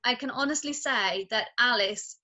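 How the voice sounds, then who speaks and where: A woman talking on a video call about her children's group, effusive and full of praise. Her voice is bright and sits a little high. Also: moderate pace. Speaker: parent and group facilitator.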